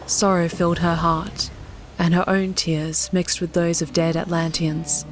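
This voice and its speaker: Slightly low-voiced woman narrating fiction. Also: flat delivery, measured pace, low-quality recording. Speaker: audiobook narrator. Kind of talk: scripted story narration. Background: soft music.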